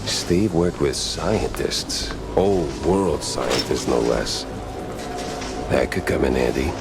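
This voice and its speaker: An actor playing a hard-boiled, wisecracking private detective in a cartoon noir game, with a gravelly voice and dry, slangy muttering. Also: male voice, a bass voice, animated, slow pace, very noisy, full character voice. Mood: wry, musing. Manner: dry, sardonic muttering with old-fashioned slang. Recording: music playing behind the voice.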